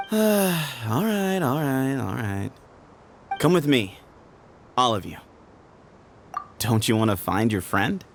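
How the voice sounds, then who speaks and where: A sly desert gang leader teases and toys with the people he meets. His voice is a little gravelly and bounces up and down in a mocking sing-song. There is a faint hiss behind him. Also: mid-range voice, very animated, slow, pauses often. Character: sly, teasing man who leads a hidden desert band. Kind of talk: video game cutscene voice acting.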